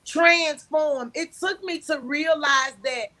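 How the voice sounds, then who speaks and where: A woman in her forties with a loud, big voice, talking candidly and emphatically in a conversation. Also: high voice, slow. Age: 40s. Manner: emphatic, preaching tone.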